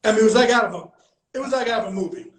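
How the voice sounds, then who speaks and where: A middle-aged man with a fairly high voice talks bluntly and earnestly, swearing freely. His words ring in an echoey room. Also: racing. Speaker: host, founder of a veterans and players group. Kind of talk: candid conversation.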